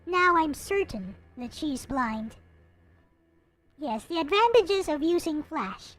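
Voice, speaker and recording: A woman streaming, chatting casually and reading a visual novel aloud in an expressive manner. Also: high voice, slow pace. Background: music.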